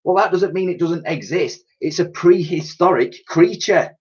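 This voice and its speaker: A man's voice: on the high side, normal speed, husky, highs cut off, pitched above the performer's own voice. A man voicing a plucky, cheeky and slightly haughty little girl in a children's storybook. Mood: haughty, matter-of-fact.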